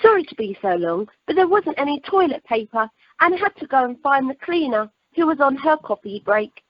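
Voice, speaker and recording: Literal-minded, cheery airline assistant, woman performer; amateur radio comedy, animated, on a dry dull phone line. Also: sits high, fairly quick, no echo. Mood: chatty. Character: chirpy, dim, literal-minded female airline check-in assistant. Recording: muffled, dry telephone conference line.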